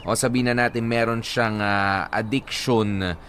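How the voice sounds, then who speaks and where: A man with a Filipino accent hosting a radio advice show, talking in a hesitant, halting, conversational way. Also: fairly low pitch, steady tone, a bit bright, slight background noise. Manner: hesitant, halting, mixes English with local speech. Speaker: radio host.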